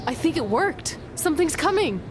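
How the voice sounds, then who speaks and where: A woman's voice: high-pitched, very animated, normal speed, noisy background. A woman playing an upbeat, naive young adventurous sidekick in a video game, eager and bubbly. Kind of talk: video game cutscene acting.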